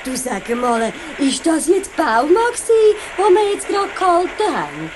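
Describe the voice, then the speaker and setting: A woman's voice: high voice, sing-song, measured pace, background noise. A woman playing a chatty, nosy older village passenger in a Swiss German children's radio play. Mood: chatty, fussy. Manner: gossipy, fussy, insistent.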